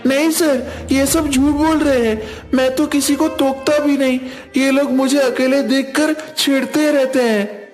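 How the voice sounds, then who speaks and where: A man's voice: very high-pitched, fairly even intonation, a little slow, darkish tone, faint music behind. A man voicing an earnest, complaining young schoolboy in a cartoon. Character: tattling, teasing young schoolboys and a gentle food-loving boy. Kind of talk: Hindi cartoon dialogue.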